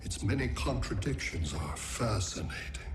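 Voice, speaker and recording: An actor playing a coldly superior alien machine intellect in a video game, speaking in measured, formal, pedantic sentences. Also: male voice, lowish voice, very animated, reverberant, over music. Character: cold, imperious alien machine intellect, male world-collecting villain. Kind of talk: scripted video game villain acting.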